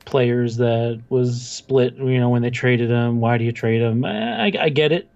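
A man's voice: medium pitch, unhurried, gravelly, a little mellow. A man with a mid-range voice chats casually as a sports podcast co-host. The recording is a little dull and lo-fi. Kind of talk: casual chat. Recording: slightly dull lo-fi audio.

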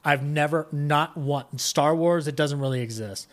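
A man's voice: fairly high pitch, unhurried. A man chatting casually as a podcast guest, rambling enthusiastically and voicing his own thoughts aloud. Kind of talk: casual chat.

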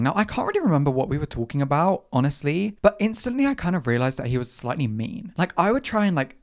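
A young adult podcast host, slightly croaky after a run, telling stories in a sassy, dramatic way and acting out their own reactions. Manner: sassy, dramatic, acts out its own reactions with big pitch jumps. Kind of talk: casual storytelling monologue.

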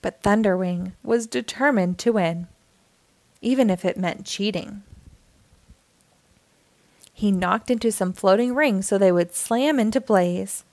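A woman reading a children's storybook aloud with expressive, measured narration. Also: medium pitch, dry recording. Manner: measured, clearly phrased.

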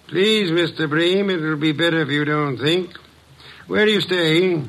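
An actor playing an imperious, sardonic detective in an old radio drama, speaking formally with droll condescension. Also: male voice, a little high, unhurried, a bit bright, poor audio. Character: imperious, sardonic, portly middle-aged male private detective. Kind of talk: 1940s-1950s radio detective acting.

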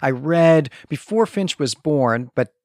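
A man's voice: on the high side, animated, slow pace, darkish tone, no echo, clean studio sound. A man co-hosting a literary podcast, speaking conversationally and explaining his thinking as he reasons aloud. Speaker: podcast co-host.

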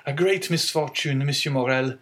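A man's voice: on the high side, normal speed, fairly bright tone, pitched above the performer's own voice, lightly acted. A man voicing an earnest, resolute young sailor who speaks with sorrow, in an audiobook reading. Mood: sorrowful.

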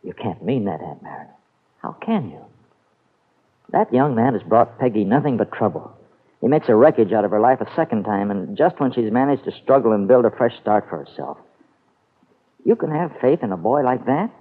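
A man's voice: mid-range voice, very animated, moderate pace, breathy edge. An actor playing a blunt, protective, skeptical man in a vintage radio soap, speaking with exasperated emphasis. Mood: indignant. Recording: tinny phone-like old radio recording.

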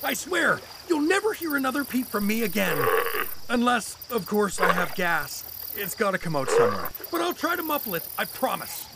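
A man's voice: high-pitched, big pitch swings, moderate pace, vocal fry, noisy background, full character voice. A man playing a cowardly, insecure, chubby hero who rambles and pleads whinily in a fantasy comedy audio drama. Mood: desperate bargaining.

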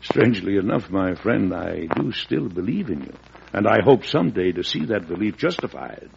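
An actor playing a weary, compassionate early president in a radio drama, speaking gravely and deliberately. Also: male voice, low voice, big pitch swings, a little mellow, exaggerated voice. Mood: solemn, sorrowful. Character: weary, measured, compassionate male president of the early United States. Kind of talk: old-time American radio drama acting. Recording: dull, noisy vintage radio recording.

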